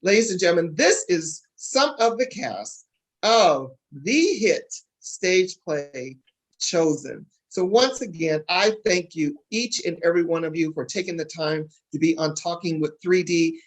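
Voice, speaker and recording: Interview host, medium pitch, promotional conversational delivery. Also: lively intonation, unhurried, poor audio.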